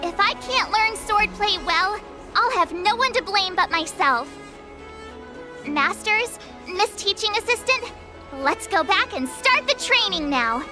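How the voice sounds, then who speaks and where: An eager teenage girl learning the sword in an anime game. Her voice is extremely high and bounces with lively intonation. She sounds earnest and exclamatory over clear background music. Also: a little slow. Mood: fired up. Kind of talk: anime-style video game voice acting.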